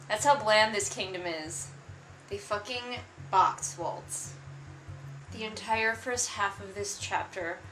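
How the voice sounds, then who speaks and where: A woman with a bright voice hosts a casual book read-along, picking the writing apart. She is sarcastic and exasperated, talking conversationally as if to friends. The room adds a noticeable echo, and faint music sits behind her. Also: mid-range voice. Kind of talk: casual comedic book critique commentary.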